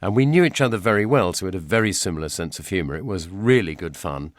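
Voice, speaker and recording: Low-voiced British man telling anecdotes. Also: expressive, fast talker, no echo. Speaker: interviewee, writer reminiscing. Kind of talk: anecdotal documentary interview.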